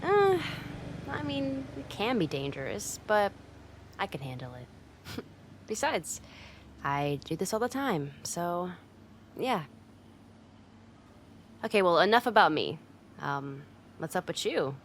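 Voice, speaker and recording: A woman as a brusque, low-voiced tsundere delinquent girl. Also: big pitch swings, slow, long pauses, slight background noise. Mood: guarded, casual, then gruff concern. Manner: blunt, teasing, streetwise, with hesitant softening. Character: tough tsundere delinquent girl, brusque but secretly caring. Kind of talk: ASMR roleplay monologue addressed to the listener.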